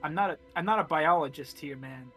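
A man with a somewhat high voice talks bluntly and colloquially as a game player. Faint music plays behind his casual discussion. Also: fairly quick, fairly bright tone. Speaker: player in an online tabletop game.

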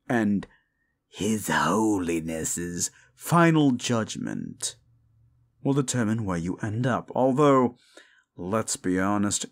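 A man's voice: lowish voice, vocal fry, heavily acted. A man voicing a condescending, seductive demon deal-maker in an audio roleplay, speaking in a theatrical, mocking drawl. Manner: slow, condescending, theatrical drawl with amused chuckles. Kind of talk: scripted audio roleplay addressed to the listener.

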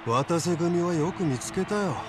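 An actor voicing a playful, arrogant and menacing young yakuza patriarch in a video game, with a languid, teasing drawl. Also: male voice, high voice, normal speed, over music, natural voice. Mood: amused, mocking. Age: young adult. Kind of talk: cinematic video game acting.

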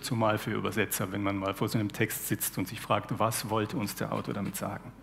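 A man's voice: on the low side, vocal fry. A man in his forties, a literary translator, explains his ideas on a panel in a thoughtful, lecture-like way with dry irony, speaking into a headset mic on a stage before an audience. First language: German. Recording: long hall reverb.

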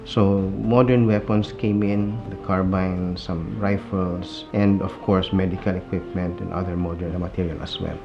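Deep-voiced man explaining history. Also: little pitch movement, highs cut off, music in the background. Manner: measured and factual. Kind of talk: explanatory documentary interview.

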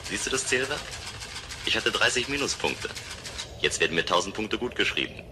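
An actor playing a wistful, playful man of a future city in a German sci-fi radio play, speaking in a measured way. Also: lowish voice, expressive, noisy background, close to an everyday voice.

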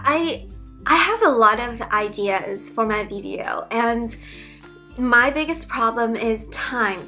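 Bright-voiced woman, Mandarin accent. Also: a little high. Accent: Mandarin Chinese. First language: Mandarin Chinese. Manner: chatty, earnest, with frequent restarts. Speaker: YouTuber and PhD student. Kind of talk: casual Q&A vlog talk. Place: bedroom, indoors.

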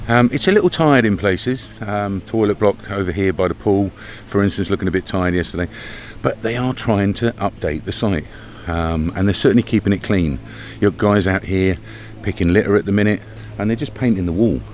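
Deep-voiced British man vlogging outdoors. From Britain. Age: middle-aged. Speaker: travel vlogger. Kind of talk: casual vlog chat to camera. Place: outdoors at a campsite. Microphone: camera at arm's length. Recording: outdoor camera audio with background noise.

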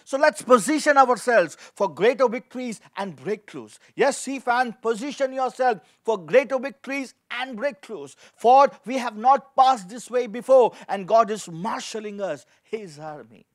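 A man's voice: extremely high pitch, animated, unhurried, hardly any pauses. A man in his forties preaching a sermon as a pastor, reading scripture and repeating key phrases with emphasis into a handheld microphone. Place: indoor studio with dark backdrop, at a lectern.

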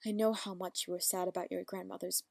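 A woman's voice: fairly low pitch, level tone, fast talker, creaky, a little mellow, no echo. A woman voicing a polite, formal, apologetic female pony in an amateur audio drama.

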